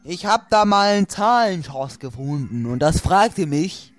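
A man's voice: high-pitched, animated, measured pace, dry recording. A man chatting casually and playfully as he hosts a let's play. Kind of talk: casual joking chat while gaming. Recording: music playing over the voice.

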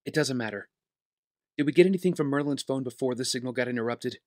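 An actor playing an earnest, guarded young vigilante in an audio drama, speaking in a reserved, terse way. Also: male voice, mid-range voice, fast talker, a little mellow, no echo, studio quality, natural voice. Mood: defensive. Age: young adult.

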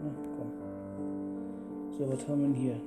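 A man's voice: mid-range voice, little pitch movement, moderate pace. A man hosting a gaming video, talking casually as he plays. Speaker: gaming channel host and player. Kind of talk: casual Let's Play chat. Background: loud plucked guitar music.

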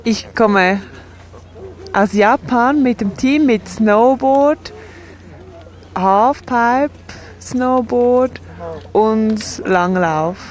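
A woman voicing a sign language sports report and interviews in a measured, steady way. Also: a little high.

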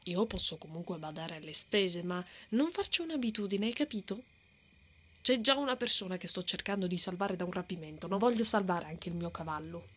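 A woman playing a brave, hot-tempered, bossy female knight in an ASMR roleplay, often breathy and muttering threats to herself. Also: on the low side, expressive, a little fast, a bit bright. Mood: urging, bossy. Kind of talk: ASMR roleplay, acted monologue. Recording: noticeable outdoor ambience effects behind.

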